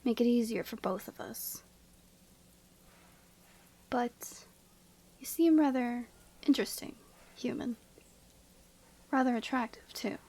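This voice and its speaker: A woman playing a proud, commanding yeti woman in a scripted ASMR roleplay, speaking in an imperious, measured and formal way. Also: a little high, darkish tone. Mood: aloof, intrigued.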